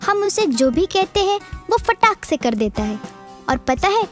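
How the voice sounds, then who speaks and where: A wistful, excitable little schoolgirl in a children's cartoon tale. The voice is extremely high and swings up and down with childlike, open emotion. Music plays underneath. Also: a little slow, exaggerated voice. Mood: boastful, excited. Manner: exclamatory, childlike, openly emotional. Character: young rich schoolgirl, wistful, curious, excitable. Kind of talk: children's animated moral story dialogue. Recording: music playing behind the voice.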